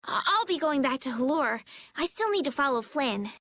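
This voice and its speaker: Naive polite noble girl in a game, high n lively, sincere, eager. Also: moderate pace, no echo, clean studio sound. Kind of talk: English video game dub acting.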